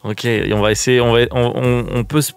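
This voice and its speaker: A man's voice: mid-range voice, little pitch movement, brisk, creaky, smooth. A man hosting a radio show, chatting casually in slangy, informal language as he interviews a guest. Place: radio studio.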